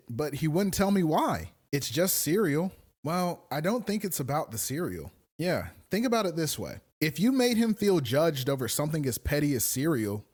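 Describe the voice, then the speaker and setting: A man voicing a young friend talking candidly about relationship troubles in conversational skit dialogue for a video essay. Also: a little high.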